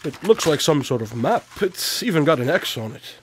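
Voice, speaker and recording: An actor playing an eccentric, inquisitive detective in a radio drama, articulate and dryly witty, with formal phrasing. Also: male voice, on the high side, sing-song, normal speed, a little hiss or hum. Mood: eager, distracted.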